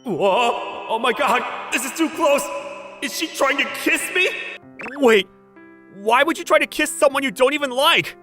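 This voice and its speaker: A man acts out a lovestruck, earnest young factory owner in a manga dub. His pitch leaps around freely as he gets flustered. Soft music plays underneath his lines and inner thoughts. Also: animated, moderate pace. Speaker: voice actor, lead and narrator.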